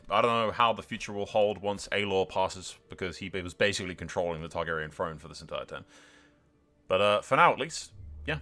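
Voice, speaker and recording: Bright-voiced man doing casual game commentary. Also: fairly low pitch. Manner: informal, wry asides. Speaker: host of a gaming channel.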